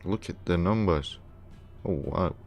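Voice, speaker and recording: A man from Romania hosting a casual gaming livestream, thinking aloud in a halting, hesitant way. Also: low-pitched, vocal fry, a little mellow, faint music behind. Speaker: livestream host playing a game.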